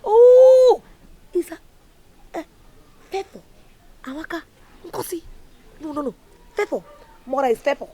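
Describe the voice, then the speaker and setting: An actress playing a headstrong young woman who is quick to pick a fight. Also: slight background noise, exaggerated voice. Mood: indignant. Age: young adult. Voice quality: high, animated Nigerian Pidgin-tinged speech.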